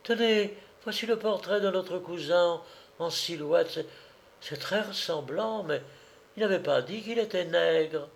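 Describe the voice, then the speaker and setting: Man putting on a high-pitched, offended young bride. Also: measured pace, vocal fry. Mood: dismayed. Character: prim, horrified young bride-to-be, shrill and indignant.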